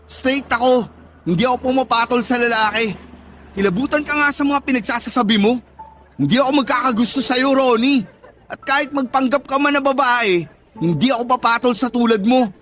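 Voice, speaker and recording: A man's voice: extremely high pitch, a little fast, fairly bright tone, faint music behind. A man acting in a radio drama as an angry, betrayed young friend, bitter and scornful, with a Filipino accent. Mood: scornful, disbelieving.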